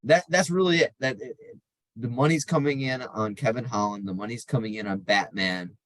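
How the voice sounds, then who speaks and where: A man's voice: mid-range voice, brisk, fairly bright tone, dry recording, poor audio. A man co-hosting a podcast, giving his betting takes in an emphatic way with frequent ums. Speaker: podcast co-host. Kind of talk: casual betting chat.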